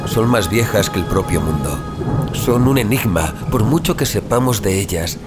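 A man plays a haunted young rebel turned leader in an audio drama, with a Spain accent. His low voice moves expressively and confides in rough, colloquial phrases. Prominent music plays behind him. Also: expressive, moderate pace, close to an everyday voice. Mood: grave, resolute. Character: Iker, earnest reformed troublemaker, haunted survivor and leader. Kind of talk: Spanish audio fiction drama acting.

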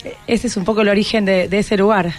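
A woman's voice: medium pitch, fairly even intonation, fast talker. A woman with a Buenos Aires accent hosting a radio show, interviewing casually and encouraging people to speak. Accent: Argentine, Buenos Aires. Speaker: radio host. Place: radio studio. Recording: music playing behind the voice.

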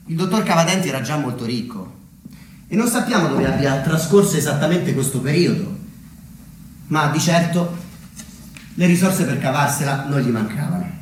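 A man performing a scripted theatrical monologue as narrator, telling his story deliberately with dramatic pauses and emphatic swings. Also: fairly high pitch, slight background noise. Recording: very echoey, distant, under loud plucked guitar music. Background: plucked guitar music.

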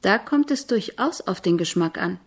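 An actress playing an eager, admiring composition student in a radio drama, speaking in an earnest, searching, deferential way with a husky voice. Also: female voice, mid-range voice, lively intonation, normal speed. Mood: admiring, questioning. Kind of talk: German radio drama acting.

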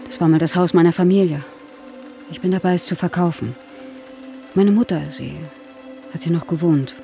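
An actress playing a famous film star, a caring but guarded older sister in grief, in naturalistic radio drama style. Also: female voice, fairly low pitch, moderate pace, warm tone, faint music behind, natural voice. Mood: wistful.

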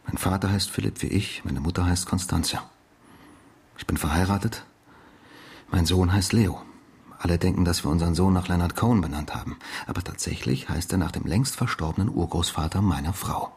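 Enigmatic stranger posing as a husband, low gravelly voice, calm, controlled, provocative, radio drama. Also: male voice, full character voice.

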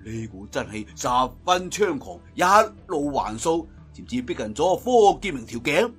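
A man's voice: on the high side, big pitch swings, normal speed, faint music behind. A man with a Cantonese accent narrating martial-arts tales as a traditional storyteller, theatrical and emphatic at sentence endings.

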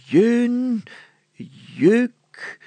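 A man voicing a pompous, excitable town mayor in a children's story, spluttering and stumbling over his words. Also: extremely high pitch, very slow, no echo, voice pushed up, exaggerated voice. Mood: halting, solemn. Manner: spluttering, hesitant, exclamatory, stumbling while deciphering.

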